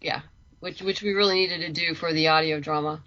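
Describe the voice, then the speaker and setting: Woman, lowish pitch, forward bright tone, chatty interview guest, informal, muffled lo-fi recording. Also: steady tone, a little fast. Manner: chatty, informal, thinks aloud. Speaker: interview guest, writer and creator. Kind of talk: casual conversational interview.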